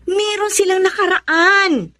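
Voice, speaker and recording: A woman's voice: extremely high pitch, takes it slowly, bright, forceful tone, exaggerated voice. An actress playing a defiant younger sister who firmly pleads for her mother in a radio drama. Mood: reasoning. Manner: pleading, firm.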